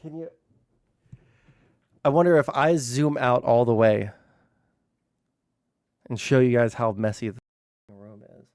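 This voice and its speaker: A man streaming from home, chatting casually with lots of fillers and some swearing, his voice naturally loud. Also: medium pitch, steady tone, a little slow, pauses often, no echo, clean studio sound. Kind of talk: casual livestream chat. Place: room hung with soundproofing blankets. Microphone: streaming mic with gain turned low.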